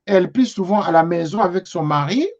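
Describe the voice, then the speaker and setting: A man hosting a TV talk show, speaking haltingly with frequent pauses. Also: high-pitched, lively intonation, darkish tone, dull audio. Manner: hesitant, many fillers and restarts. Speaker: TV show host.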